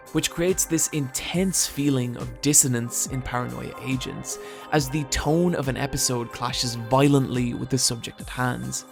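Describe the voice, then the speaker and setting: A man's voice: medium pitch, creaky. A man narrating a scripted video essay, with dry, wry asides. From Britain. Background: soft background music.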